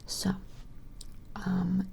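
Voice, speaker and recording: A woman doing a gentle ASMR personal attention role-play as an attentive shopkeeper, whispering breathily and a little hesitantly, close to the microphone. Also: extremely slow, a little hiss or hum. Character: attentive vampire shopkeeper measuring a creature customer.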